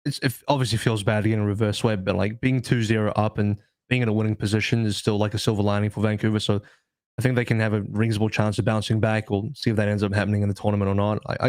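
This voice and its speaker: A man on a podcast panel explaining things in a casual, measured, analytical way. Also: fairly low pitch, darkish tone, no room sound.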